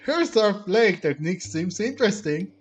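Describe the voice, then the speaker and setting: A man's voice: high-pitched, expressive, moderate pace, slightly rough, faint music behind. A man doing casual, playful let's-play commentary, reacting aloud and reading game dialogue. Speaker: game streamer.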